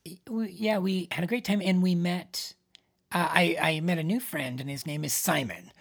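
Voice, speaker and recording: A middle-aged man co-hosting a podcast in casual chat, with a voice he calls a bit high, rambling with many restarts. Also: normal speed, vocal fry, slightly rough. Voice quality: says his voice is on the high side. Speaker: podcast co-host.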